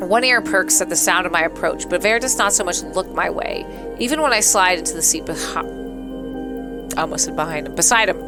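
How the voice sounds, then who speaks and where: A woman's voice: fairly low pitch, wildly swooping pitch, moderate pace. Playful woman streamer over game music. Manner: playful, dramatic, giggly. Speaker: gaming streamer. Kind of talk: casual let's play, reading visual novel aloud with asides. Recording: music behind the voice.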